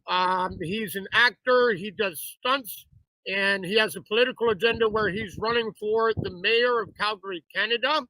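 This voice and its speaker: A man's voice: sits high, pushed and bright, no echo, rough recording. A man hosting a talk show, introducing his guest with effusive praise and frequent fillers. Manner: effusive, repeats "absolutely fabulous".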